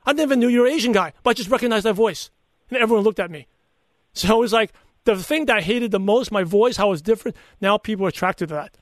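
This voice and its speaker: A man's voice: high voice, quick pace, no echo. A middle-aged man with a mixed Brooklyn and Chinese accent and a lisp, speaking earnestly and emphatically in a conversational interview. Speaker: podcaster and coach, interview guest. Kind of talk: conversational interview answers with personal anecdotes.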